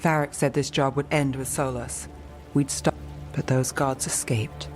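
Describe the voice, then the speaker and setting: City investigator, guarded, dry, world-weary, understated, game dialogue with music behind. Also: female voice, very deep voice, fairly even intonation, measured pace. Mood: world-weary, wry. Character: wry, guarded female city private investigator. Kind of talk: dramatic video game dialogue.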